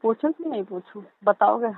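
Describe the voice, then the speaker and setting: A woman's voice: on the high side, expressive, moderate pace, dry recording. A woman chatting casually on a recorded phone call in an insistent, accusing manner.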